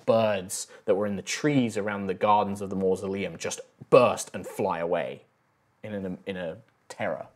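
A man acting as dungeon master, narrating scenes in a low, hushed voice and reading out donation messages at a studio table. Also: steady tone, normal speed.

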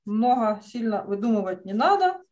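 A woman's voice: a little high, sing-song, moderate pace, a little mellow. A woman teaching children drawing in an online lesson, speaking in an encouraging way full of praise. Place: indoors, on a video call. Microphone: video call microphone.

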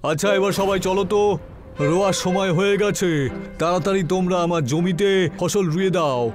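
Scheming village landlord for animation, played by a man: raised pitch, bossy, grasping, music behind. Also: fairly even intonation, brisk, lightly acted. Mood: demanding. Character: greedy, scheming middle-aged male village landlord. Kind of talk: cartoon dubbing.

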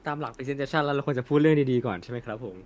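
Young male co-host for casual anime chat, rapid delivery, mid-range pitch, slangy and opinionated with some swearing. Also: a little mellow, a little hiss or hum. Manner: colloquial, peppered with swearing and slang. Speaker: co-host of an anime discussion channel.

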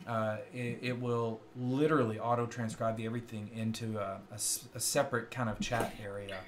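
A man's voice: medium pitch, little pitch movement, a little fast, slight background noise. A middle-aged man with a light Kentucky accent co-hosts a casual tech chat over a video call. He sounds upbeat and chuckles often while he explains things. His voice comes through wired earbuds from an indoor room. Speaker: co-host.

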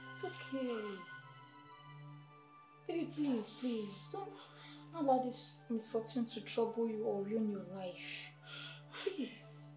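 An actress playing a pleading, fussing wife with a Nigerian accent, coaxing and entreating. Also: female voice, high-pitched, big pitch swings, fairly quick, over music. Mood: pleading, consoling. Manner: coaxing, entreating, rhetorical questions. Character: pleading, fussing wife, anxiously placating her man. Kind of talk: emotional film drama acting. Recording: echoey room.

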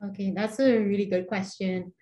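A young woman with a Trinidadian accent, answering earnestly as a webinar panelist, measured and repeating words as she searches for them. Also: mid-range voice, fairly even intonation, dark tone. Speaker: panelist, startup founder. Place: home room, plain wall. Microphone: laptop webcam video call. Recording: roomy echoey home room.

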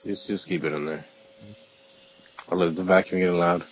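A man's voice: sits low, fairly even intonation, normal speed, husky, dull audio. A young man playing a block-building game with a friend, joking around in crude, casual banter. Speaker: gamer. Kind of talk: casual gaming chat.

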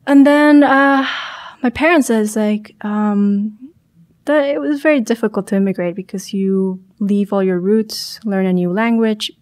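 A young woman from Chile talks as a podcast guest at a studio boom mic. Her voice sits at an ordinary pitch. She speaks thoughtfully, pausing and restarting as she searches for the right word. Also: a little mellow. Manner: thoughtful, hesitant, many restarts and self-corrections. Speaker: startup founder guest. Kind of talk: podcast interview. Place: small studio room.

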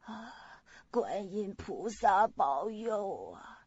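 An actress playing a fretful, superstitious older mother in a radio drama, fussing with drawn-out words. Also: medium pitch, sing-song, darkish tone, husky, dry recording, full character voice. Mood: fretful, relieved. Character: fretful, superstitious older mother, hard on her daughter-in-law. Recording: dull lo-fi audio with music behind.

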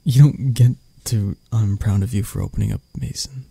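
Low, gravelly, mellow man acting a prickly boss. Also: no echo, full character voice. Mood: teasing, flirty. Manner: halting, breathy, often dropping to a whisper. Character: arrogant, prickly CEO boss, softening into vulnerable flirt. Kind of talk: intimate ASMR roleplay acting.

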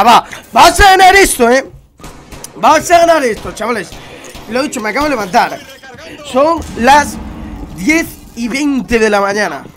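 A young Spanish-accented man with a fairly high voice, nasal and congested from a cold. He rambles casually to his viewers in slangy gamer chat, recorded at home on a headset mic. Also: fairly bright tone. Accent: Spanish from Spain. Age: young adult. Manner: chatty, slangy, addresses viewers directly. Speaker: gaming YouTuber. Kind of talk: casual gameplay commentary. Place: home room at a gaming setup.

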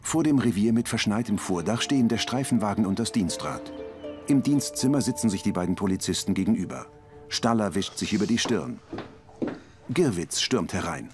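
Low-voiced male narrator over music. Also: lively intonation, moderate pace. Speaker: audio description narrator. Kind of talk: scripted narration of a TV crime comedy.

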